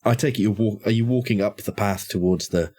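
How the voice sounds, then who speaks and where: Low husky man running the game. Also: a little fast, a little mellow. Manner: hesitant, many restarts and fillers. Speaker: game master. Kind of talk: narrating a tabletop horror game.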